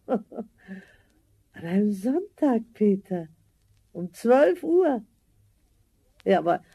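A woman playing a worried, homely older mother in a 1970s fairy-tale audio drama, speaking in a halting, motherly way. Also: medium pitch, gravelly, dark tone, slightly rough, dry recording, heavily acted.

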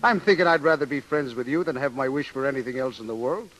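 An actor playing a boastful, smitten Irish sailor with lilting, flowery talk in a 1930s radio drama. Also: male voice, medium pitch, fast talker, a bit bright, lightly acted, rough recording. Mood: tender, smitten. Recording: hissy 1930s radio broadcast.

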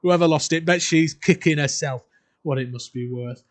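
An actor playing a miserly old uncle in an audio drama, querulous and scolding, often repeating himself. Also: male voice, a little high, normal speed, close to an everyday voice. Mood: gloating. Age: older. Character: miserly, crotchety, grumbling old uncle who hates Christmas.

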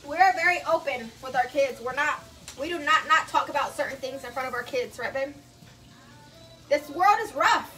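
A chatty woman in her thirties with a high voice hosts a live cooking stream from her home kitchen. She talks loosely, laughs often and calls out to viewers by name. The sound comes from a phone set a few steps away. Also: animated, normal speed, bright, forceful tone, recorded from a distance, poor audio. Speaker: vlog host cooking live. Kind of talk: casual live-stream chat. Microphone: phone camera a few steps away.

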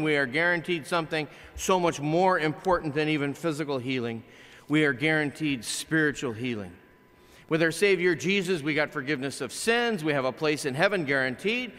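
An older man preaches from a pulpit in a large chapel, talking to the congregation in a plain, conversational way. His voice sits a little high and sounds bright and forceful. The pulpit mic catches a long reverb that hangs in the room. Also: moderate pace. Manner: conversational preaching with animated swings from loud to hushed. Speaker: pastor. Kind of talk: sermon. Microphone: pulpit microphone, filmed by a far camera.

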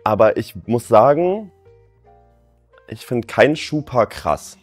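A man's voice: mid-range voice, sing-song, slow, no room sound, soft background music. A man in his twenties, a dating show contestant, chatting casually. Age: 20s. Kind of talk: casual chat.

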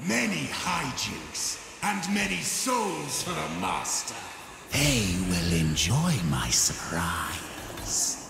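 An actor voicing a gleeful, scheming shapeshifting daemon trickster in a fantasy video game, theatrical and mischievous, with a creaky, gravelly voice. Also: male voice, mid-range voice, full character voice. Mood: playful, triumphant. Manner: theatrical, mischievous, riddling delivery.

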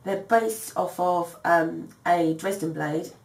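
A low-pitched woman in her thirties hosts a home sewing tutorial, her voice still rough from a cold. She chats casually and keeps filling gaps with ums, heard from a little way off in an echoey room. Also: slow. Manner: chatty, many ums, apologetic. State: recovering from a cold, voice strained. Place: home dining room by garden windows. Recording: echoey room, slightly distant mic.

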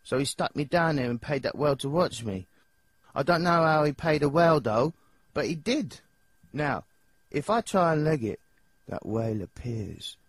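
An actor with an English accent voicing a nervous, dim, childlike young singer in a video game, hesitant and rambling in slangy speech. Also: male voice, mid-range voice, breathy edge, no echo. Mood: aggrieved. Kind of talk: video game character dialogue.

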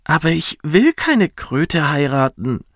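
Storybook role: tiny gentle girl played by a man, raised pitch, plaintive, childlike, dry close sound. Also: big pitch swings, slow pace, no echo, full character voice. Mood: protesting. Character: tiny thumb-sized girl, gentle and sorrowful.

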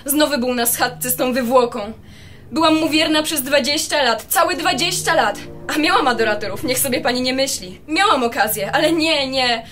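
A woman's voice: high voice, moderate pace, a bit bright, soft background music. A woman playing a bitter, indignant long-married wife in a radio play, dramatic and affronted. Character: indignant, bitter wife of twenty years, a suspect. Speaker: amateur radio drama actor.

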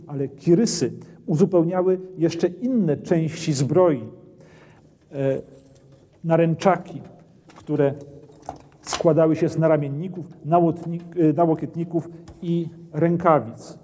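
A middle-aged man explains medieval armour as a documentary presenter, his voice mellow and a little husky. He talks in a stone castle armoury on a lapel mic, with a long reverb trailing his words. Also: on the high side, takes it slowly. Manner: explanatory, points at armour as he speaks. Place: dim brick castle armoury, indoors.

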